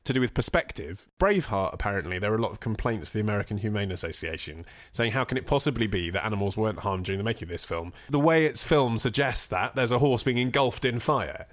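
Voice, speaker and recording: Fast-talking man, comedic chat. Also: fairly low pitch. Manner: quick, animated, self-mocking storytelling. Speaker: podcast co-host.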